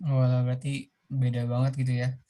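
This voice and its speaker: A man's voice: mid-range voice, monotone, normal speed, warm tone. A young man interviewing guests in a casual, friendly way. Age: young adult. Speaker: student interviewer.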